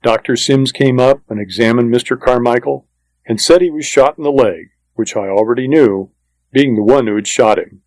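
A man's voice: medium pitch, normal speed, darkish tone, no room sound, low-quality recording. A man reading a first-person western story as scripted audiobook narration, in a plain, matter-of-fact manner.

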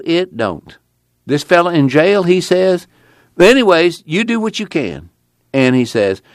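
A man voicing an earnest, fiery preacher with a rising, excited drawl. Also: fairly high pitch, no echo, clean studio sound, pitched above the performer's own voice. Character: earnest fiery male preacher, middle-aged.

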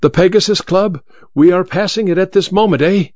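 A man voicing a vain, theatrical blind stage magician, speaking high and showy. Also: brisk, a little mellow, dry recording, dull audio, natural voice. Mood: sly, amused.

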